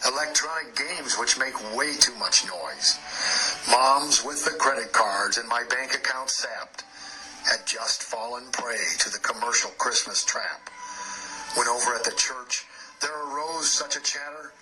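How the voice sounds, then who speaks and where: An American man reading a rhyming poem as a story, measured, with pauses between short phrases. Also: medium pitch, rough recording. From Ohio, United States. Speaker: amateur poet. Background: loud music.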